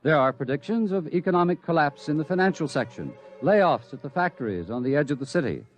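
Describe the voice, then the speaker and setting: An actor playing a grave narrator of a radio drama, telling of doom in portentous, measured phrases. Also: male voice, on the high side, lively intonation, faint music behind, close to an everyday voice. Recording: dull lo-fi radio recording.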